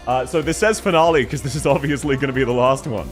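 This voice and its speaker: A man's voice: medium pitch, fast, soft background music. An Australian man streaming live in casual chat, laughing often and thanking viewers one by one. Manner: giggly, thanks viewers warmly.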